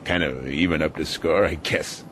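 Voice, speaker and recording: An actor playing a gruff, ailing, sardonic male sea captain in a radio drama, halting and muttering as if in pain. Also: a bass voice, a bit bright. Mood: wry, weary. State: weary and in pain from headaches. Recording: noisy, boat and sea ambience.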